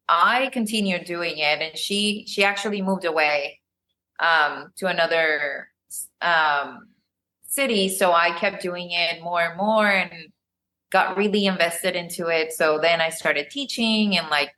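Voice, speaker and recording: A woman whose first language is Spanish, a podcast guest chatting casually, relaxed, laughing easily and pausing with ums. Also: on the low side, poor audio. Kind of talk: casual interview chat.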